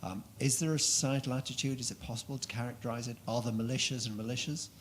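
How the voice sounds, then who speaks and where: A man's voice: medium pitch, steady tone, quick pace, a little hiss or hum. A man in the audience at a policy event, putting an unscripted, articulate question to the speaker. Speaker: audience member asking a question.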